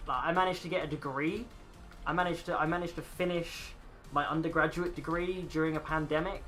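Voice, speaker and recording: Bright-voiced guy in his twenties. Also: fairly high pitch, steady tone, brisk, faint music behind. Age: 20s. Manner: rambling, chatty, swears casually, talks to chat. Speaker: game streamer. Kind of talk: casual live-stream chat.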